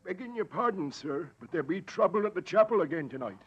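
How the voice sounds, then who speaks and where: Husky old Cornish groom. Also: male voice, sits high, expressive, fairly quick. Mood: ominous, insistent. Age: older. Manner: rustic dialect, solemn storytelling. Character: superstitious old Cornish groom, deferential but insistent. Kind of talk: 1940s radio acting. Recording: dull old radio recording.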